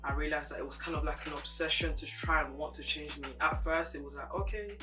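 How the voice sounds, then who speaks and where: Deep-voiced young woman, level and candid. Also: fairly even intonation, fairly quick. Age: young adult. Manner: reflective and candid, speaking in a level tone. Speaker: interview guest. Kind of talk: candid personal interview. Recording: distant mic with music playing behind.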